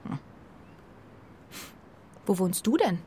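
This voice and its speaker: An actress playing a proud but shaken young homing pigeon in a children's radio play, earnest and hesitant, often dropping into a hush. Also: female voice, medium pitch, big pitch swings, extremely slow, breathy edge, full character voice. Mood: defensive.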